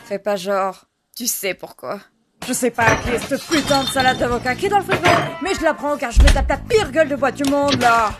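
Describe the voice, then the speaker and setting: Surly cartoon demon receptionist played by a woman: young, foul-mouthed, highish, wide pitch range. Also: animated, brisk, loud music behind the voice. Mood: contemptuous. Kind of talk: cartoon fan dub.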